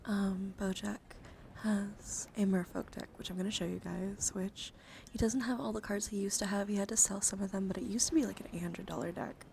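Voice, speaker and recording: A woman hosting an ASMR livestream, chatting in soft whispers and hushed talk, with slow, repetitive phrasing. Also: medium pitch. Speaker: livestream host. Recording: clear background noise on livestream.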